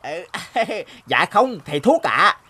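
A man's voice: on the high side, big pitch swings, takes it slowly, sharp tone, slightly rough, full character voice. A man voicing a formal, insistent royal envoy in a cartoon folk tale. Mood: courtly. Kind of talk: animated folk tale voice acting. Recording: music bed behind the voice.

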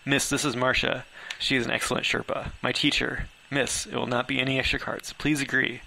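An earnest male mountain guide in an adventure story, voiced by a man reading aloud. He speaks deferentially in clipped phrases, with a bright, slightly gravelly voice. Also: medium pitch, little pitch movement, brisk, slight background noise, rough recording. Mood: polite, pleading.

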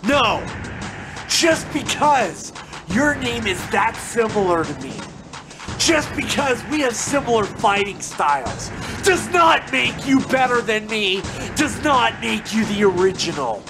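A man cuts a theatrical wrestling promo straight into a close webcam, lurching from shouted taunts down to low mutters. His voice sits a little high and he drags his words out slowly. Music plays clearly behind him in an indoor room. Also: sing-song. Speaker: hobby wrestler in character. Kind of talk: scripted wrestling promo, trash talk. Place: indoor room with window blinds. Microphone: webcam close to face.

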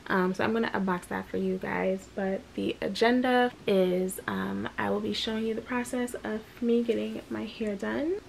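A woman's voice: mid-range voice, fairly even intonation, moderate pace, creaky, hardly any pauses, a little hiss or hum. Chatty young woman vlogging in her bedroom. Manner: chatty, candid, rambling with fillers. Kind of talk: casual vlog talk to camera. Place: small bedroom indoors. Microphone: camera close at arm's length.

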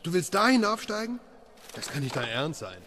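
A man's voice: on the high side, very animated, moderate pace, sharp tone, a little hiss or hum. A man acting a weary, sceptical middle-aged family man in a German radio drama. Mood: exasperated, doubting.

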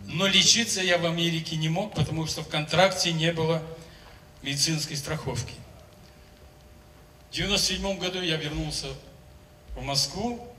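A man in his seventies, a singer, telling his story on a concert stage into a handheld microphone, in a measured, declamatory way. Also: a little high, bright, forceful tone, a little hiss or hum. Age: 70s. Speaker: singer giving a testimonial on stage. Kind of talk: stage speech to an audience. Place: large concert hall stage. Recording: roomy hall sound from a distance.

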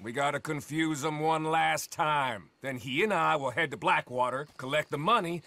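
An actor playing a scheming outlaw who mocks and provokes, with a drawling, taunting manner. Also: male voice, fairly high pitch, normal speed, creaky, sharp tone, dry recording. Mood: smug. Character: sneering scheming outlaw man, mocking and provocative. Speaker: outlaw gang member.

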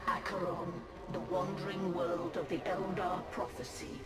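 An actor playing a solemn narrator of a grim sci-fi war game, with measured, portentous scripted delivery. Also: mid-range voice, little pitch movement, lightly acted. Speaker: cutscene narrator. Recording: echoing, under loud music. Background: loud cinematic music.

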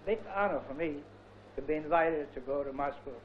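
A man answers informally and hesitantly in an interview. His voice sits a little high, and the recording is muffled with faint ambient noise. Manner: halting, hesitant. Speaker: photographer being interviewed. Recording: muffled outdoor film sound, faint noise.

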